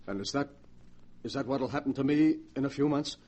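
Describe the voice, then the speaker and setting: An actor playing a tormented, hard-boiled gangster in a 1940s radio drama, with rough, slangy tough-guy talk. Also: medium pitch, a little fast, darkish tone, natural voice. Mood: pleading, anxious. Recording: vintage 1940s radio recording.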